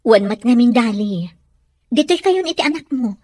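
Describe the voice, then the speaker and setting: Woman acting an expressive, husky-edged middle-aged mother. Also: fairly high pitch, normal speed, no room sound, clean studio sound. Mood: gentle, earnest. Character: patient, protective middle-aged mother in a family drama. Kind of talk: radio-style serial drama acting.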